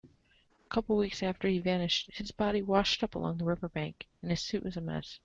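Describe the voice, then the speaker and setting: A woman narrating a comic story aloud in an expressive read-aloud style, on a slightly dull recording. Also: lowish voice, a little fast, vocal fry, no echo. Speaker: narrator of a group webcomic reading.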